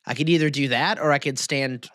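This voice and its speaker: A man's voice: medium pitch, a little fast, a bit bright, no room sound, clean studio sound. An American man co-hosting a podcast, chatting casually and emphatically, often repeating himself for stress. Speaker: podcast co-host.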